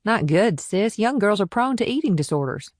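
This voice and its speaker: A woman's voice: mid-range voice, big pitch swings, moderate pace, no room sound, clean studio sound. A woman playing a tough, blunt, sardonic small-town policewoman in an audiobook drama. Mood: irritated. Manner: blunt, direct.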